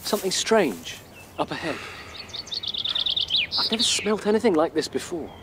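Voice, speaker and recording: A young rabbit leader, earnest and caring, in a radio play. His delivery swings widely in pitch. Clear ambience noise sits behind the voice. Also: big pitch swings, moderate pace. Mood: concerned, steady. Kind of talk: radio drama acting.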